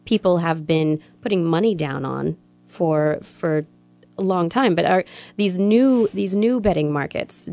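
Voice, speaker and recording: A woman's voice: on the low side, unhurried, mellow. A woman co-hosting a casual interview, asking curious questions and chiming in with short agreements.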